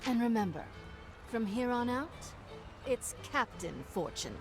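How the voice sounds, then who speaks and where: A woman's voice: a little high, sing-song, a little slow, over music. An actress playing a confident, teasing female pirate captain in a video game. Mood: commanding. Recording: noisy ambient game soundscape behind.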